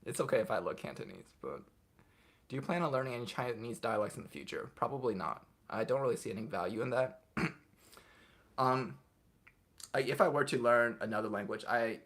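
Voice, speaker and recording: A young man hosting a casual livestream, reading viewers' comments aloud and answering them off the cuff in a relaxed way. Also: mid-range voice, brisk. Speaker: livestream host answering chat.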